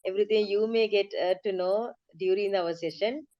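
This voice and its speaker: A woman's voice: medium pitch, fairly even intonation, moderate pace, no room sound, dull audio. A woman in her thirties with a Sri Lankan accent, speaking conversationally as an advocate on a panel. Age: 30s.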